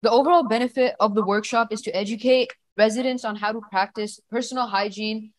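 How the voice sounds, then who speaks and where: A woman's voice: a little high, steady tone, fairly quick. A teenage girl reading her section of a student presentation, plain with a hesitant start. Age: teens.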